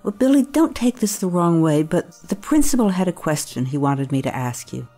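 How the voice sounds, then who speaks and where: A woman acting as a concerned, probing school staff member in an audio drama, with a measured, coaxing manner. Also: fairly low pitch, expressive, dark tone, faint music behind. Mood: cautious, concerned.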